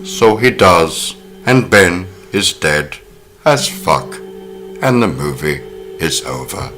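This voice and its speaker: A man hosting a podcast in casual chat, telling stories in a lively, profane way. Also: sits low, slow, music in the background. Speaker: podcast host.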